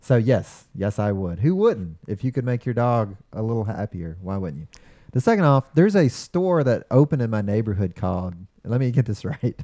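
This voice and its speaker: Mellow, low-voiced man chatting casually. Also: sing-song, moderate pace, no echo, studio quality. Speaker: podcast co-host. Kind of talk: casual conversational storytelling and banter.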